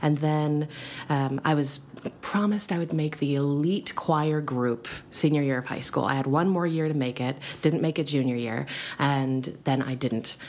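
Low-voiced woman musician telling stories. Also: normal speed. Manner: animated storytelling with frequent laughs. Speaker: musician guest. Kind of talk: casual radio interview.

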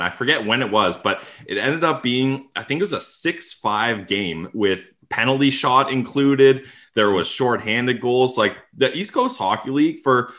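A man hosts a casual podcast, talking easily and chatting like a fan. His voice sits on the low side. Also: sharp tone. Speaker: podcast host. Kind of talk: casual podcast interview and read ad.

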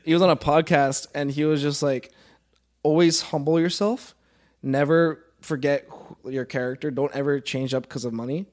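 A man's voice: on the high side, fairly even intonation, moderate pace, darkish tone. A man in his early twenties talking casually and earnestly as a podcast guest, informal and given to swearing.

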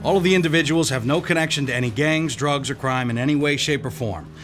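An actor playing a level-headed county detective, dry and matter-of-fact. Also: male voice, medium pitch, quick pace, fairly bright tone, soft background music, natural voice.

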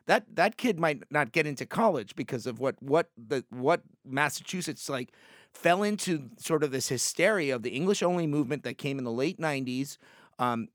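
A man's voice: on the high side, moderate pace, no echo, clean studio sound. A man from Puerto Rico, a native Spanish speaker, arguing emphatically on a panel with many restarts and "like"s. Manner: animated, emphatic, lots of "like" and restarts. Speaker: panel guest. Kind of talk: panel discussion.

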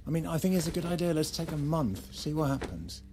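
A man's voice: on the high side, lively intonation, fairly quick, a little mellow, slight background noise. An actor playing a weary, defiant, sardonic husband and father in a naturalistic audio drama. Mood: angry, resigned.

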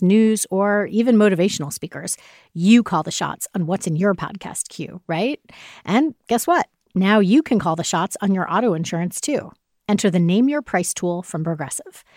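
A woman's voice: mid-range voice, a little mellow, dry recording. Woman reading a podcast ad, very dry. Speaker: podcast ad reader. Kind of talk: scripted conversational advertisement read.

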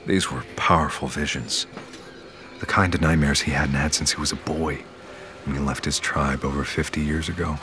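Solemn narrator, extremely deep voice, measured storytelling, audio drama, music underneath. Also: male voice, steady tone. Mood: grave, measured. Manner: measured, grave. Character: grave male storyteller narrating a mythic tale.

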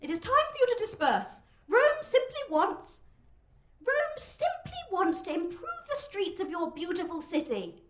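A woman voicing a proud Roman governor with a weedy, thin voice, shouting haltingly at a mob in a dramatic story reading. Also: very high-pitched, sing-song, echoey room, pitched above the performer's own voice, heavily acted. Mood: strained, placating.

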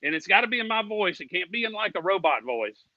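A man's voice: high voice, sing-song, fast, dull audio, a little hiss or hum. An American man, a guest on a racing fan show, ranting in a combative, indignant, folksy way with plenty of swearing. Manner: folksy, with "ain't" and "y'all". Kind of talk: heated ranting.

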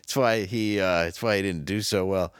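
Casual low-voiced podcaster, rambling, dry close mic. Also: male voice, moderate pace, smooth, dry recording. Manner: rambling storyteller, trails off with "uh". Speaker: podcast co-host. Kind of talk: casual comedy chat.

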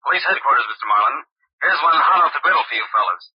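An actor playing a breezy, eager police caller in a 1940s radio crime drama, snappy and slangy with a husky voice. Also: male voice, mid-range voice, animated, very fast, no echo. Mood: brisk, urgent. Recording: muffled radio recording, telephone-like.

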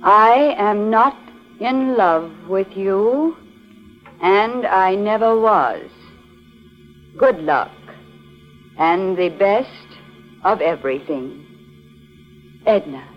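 An actress playing a haughty society woman in a deliberate, dramatic 1940s radio style. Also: mid-range voice, expressive. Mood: cold, composed. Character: beautiful wealthy society woman, haughty, then terrified. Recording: dull vintage radio recording, music behind.

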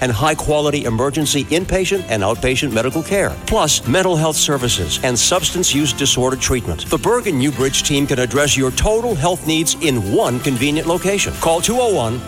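A man reading a hospital radio commercial in a polished announcer style. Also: fairly high pitch.

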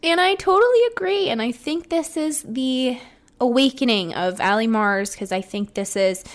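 A young woman chats through her solo podcast in an upbeat, rambling way, her voice sitting a little high. She restarts sentences often and keeps a casual, personal tone. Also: normal speed. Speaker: podcast host.

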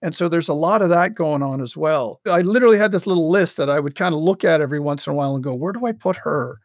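A man talking casually as the creative guest in an interview, telling stories in an animated, self-interrupting way and acting out bits of dialogue. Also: a little high, fast talker, no room sound, clean studio sound. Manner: animated, self-interrupting storyteller who acts out quoted dialogue. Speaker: guest, audio drama creator and writer.

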